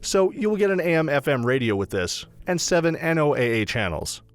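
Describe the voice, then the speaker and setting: A man reading a scripted product review as a voice-over narrator, in a brisk, even read-aloud delivery. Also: a little high, clear, resonant tone, soft background music.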